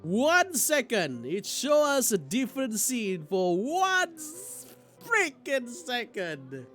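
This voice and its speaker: A high-voiced man reads game text like a stage narrator, swinging expressively through his lines. He is excitable and theatrical, and game music plays faintly behind him. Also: takes it slowly. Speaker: game streamer. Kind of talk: livestream playthrough, dramatic read-aloud of visual novel narration.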